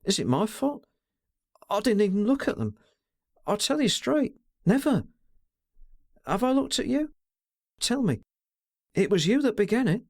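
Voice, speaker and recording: A man's voice: sits high, big pitch swings, a little mellow, dry recording, studio quality, voice pushed up, exaggerated voice. Man voicing a stammering, pleading young woman. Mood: desperate. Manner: hurried, stammering, ardent. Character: distressed young woman under twenty, pleading.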